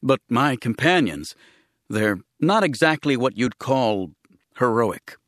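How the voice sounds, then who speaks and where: Uneasy male ambassador, read by a man: diplomatic, earnest, careful, animated delivery, dry studio sound. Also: mid-range voice, slow pace, no echo. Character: nervous, polite male ambassador, an ordinary man bluffing.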